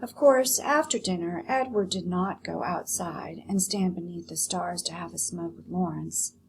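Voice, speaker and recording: A woman teacher giving a read-aloud lesson, explaining and narrating a children's story in an expressive, instructive way. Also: lowish voice, moderate pace, vocal fry, a little hiss or hum, poor audio.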